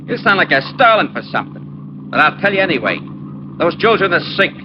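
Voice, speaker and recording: An actor playing a cool, menacing killer on the run in an old radio crime drama, curt and threatening. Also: male voice, high voice, very animated, fast. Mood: cold, matter-of-fact. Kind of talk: 1940s-50s radio detective acting. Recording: dull, noisy vintage radio, engine sound behind.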